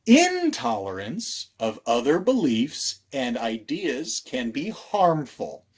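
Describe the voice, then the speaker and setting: A man reading aloud as a children's storytime librarian, theatrical, with dramatic pauses and hushed moments, putting questions to young listeners. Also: mid-range voice, huge pitch swings, breathy edge.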